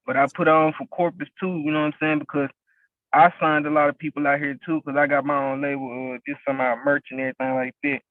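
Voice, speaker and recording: A man's voice: on the high side, level tone, fast talker, no echo. A young man from West Memphis, a rapper and label owner, talking casually as an interview guest and leaning on "you know what I'm saying" over and over. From West Memphis, Arkansas. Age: young adult. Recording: tinny phone-like, very dry.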